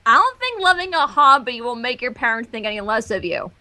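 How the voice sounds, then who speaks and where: A woman with a high, bright voice in casual conversation. She co-reads and comments, her intonation lively and varied. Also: a little fast. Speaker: co-reader. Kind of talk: casual chat.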